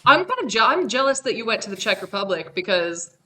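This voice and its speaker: A woman's voice: medium pitch, very animated, quick pace, bright, forceful tone. A young woman, a guest on a podcast, chatting in a friendly, rambling way that trails off. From United States. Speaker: guest, 3D designer. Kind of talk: casual interview chat.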